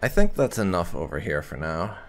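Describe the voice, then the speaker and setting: A man giving casual, expressive, conversational video game commentary with some light roleplay. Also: low voice, a little fast. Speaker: gaming channel host. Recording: faint game music behind.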